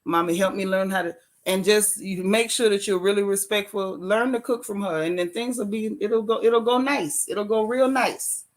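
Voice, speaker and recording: A woman hosting a casual live-stream chat and giving motherly advice, slowing down to stress her points. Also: medium pitch, steady tone. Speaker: host.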